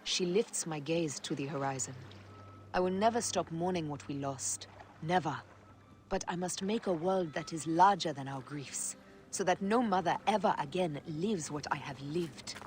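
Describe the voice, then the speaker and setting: Faint ambient noise sits behind a measured female voice. It is a grieving yet resolute Egyptian wife played by an actress, devoted to her queen's service in a dramatic video game. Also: low-pitched, a little mellow, close to an everyday voice. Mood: solemn, resolute.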